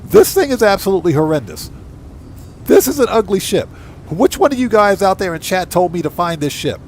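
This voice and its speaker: Higher-pitched man chatting on a game livestream. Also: big pitch swings, a little fast, darkish tone, a little hiss or hum. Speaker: live streamer and channel host. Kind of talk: casual live game commentary, chatting with viewers. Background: music.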